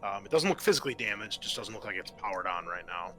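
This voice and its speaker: A man narrating an improvised tabletop game as game master, halting and often pausing mid-sentence. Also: on the low side, lively intonation, bright, forceful tone.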